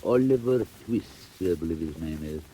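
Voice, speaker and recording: Deep, wheedling old crook. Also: male voice, lively intonation, normal speed, warm tone. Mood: ingratiating. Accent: British. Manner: oily, hesitant, chuckling, mock-courteous. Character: sly, wheedling old male crook running a boy gang. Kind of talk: 1940s dramatised audio acting. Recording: noisy vintage 1940s record.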